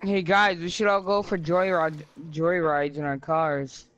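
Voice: medium pitch, little pitch movement, unhurried, fairly bright tone, low-quality recording. A player improvising casual roleplay while gaming, talking over a headset voice chat.

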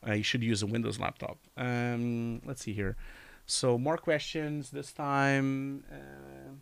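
Man in his forties, sick with a cold, nasal and scratchy, smooth close voice, dry home studio mic, hesitant live Q&A host. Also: medium pitch, little pitch movement, takes it slowly, dry recording. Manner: reads chat questions aloud, hesitant with many fillers. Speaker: live stream host answering chat. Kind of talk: casual live Q&A. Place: home study with bookshelves, indoors. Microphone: studio mic on boom, wearing headphones.

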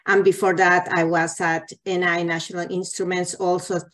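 Low-voiced woman on a video call panel. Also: level tone, fairly bright tone. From Latin America. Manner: halting, restarts phrases. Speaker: panel speaker, marketing executive. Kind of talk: virtual panel talk.

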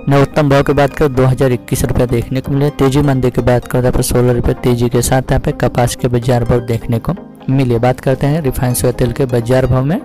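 A man's voice: medium pitch, little pitch movement, fast talker, dark tone. A man hosting a market update, reading out prices as scripted narration in a formulaic, repetitive recital. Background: music.

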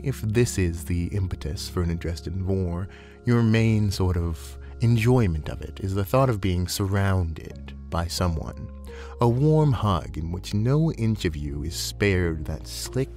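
A man voicing a genteel, soothing, playfully predatory snake-creature counselor in a measured, eloquent roleplay audio. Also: low-pitched, expressive, dark tone, soft background music. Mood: soothing, thoughtful.

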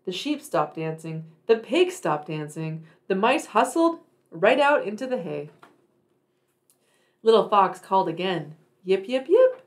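A woman reads a storybook aloud to young children. Her intonation moves widely up and down at a medium pitch, and she leans on key words with dramatic, emphatic phrasing. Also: big pitch swings, measured pace. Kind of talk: expressive picture-book reading.

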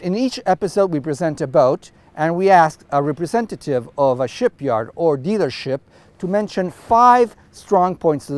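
Middle-aged man, boat review host, chatty and relaxed, slightly high voice, dry close lapel sound. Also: clear, resonant tone, no room sound. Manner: chatty, relaxed, with frequent fillers. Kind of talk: on-camera boat review interview. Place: outdoors on a catamaran deck by the sea.

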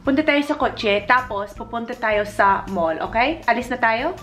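A woman's voice: a little high, animated, normal speed, fairly bright tone, soft background music. A young woman co-hosting a vlog. She chats casually in mixed Tagalog and English and patiently repeats words to explain them, into a camera held at arm's length. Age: young adult. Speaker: vlogger co-host. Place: living room, then a car, then a shop.

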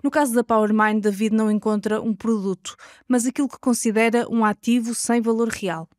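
A woman with a European Portuguese accent reporting a podcast investigation in measured, scripted narration. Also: fairly high pitch, steady tone, a little mellow, no room sound, clean studio sound.

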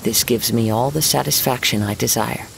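A man voicing a gentle, serene young wandering poet swordsman in a game, with measured, formal, poetic phrasing. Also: mid-range voice, vocal fry, rough recording. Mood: gentle, warm. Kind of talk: scripted game dialogue, storytelling. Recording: steady rain noise behind voice.

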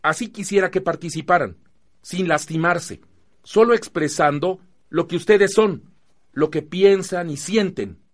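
A Mexican man playing an earnest, firm youth-group facilitator in a radio drama, speaking in a measured, didactic way. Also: sits high. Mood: encouraging, patient. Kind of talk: Spanish-language radio drama acting.

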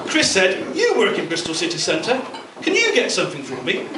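A middle-aged man delivering a prepared, humorous best man speech at a wedding reception, telling anecdotes with measured pauses. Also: high-pitched, wildly swooping pitch. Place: indoor function room at a wedding reception. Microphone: handheld mic through a PA speaker, filmed from across the room. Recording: distant, echoey, very noisy room recording. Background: loud room noise and guests.

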